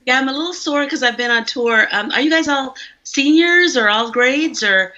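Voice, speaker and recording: A woman's voice: high voice, moderate pace. A woman from India with an American accent, an author talking casually and at length to students over a video call. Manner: chatty and animated, talks at length. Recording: muffled video call audio.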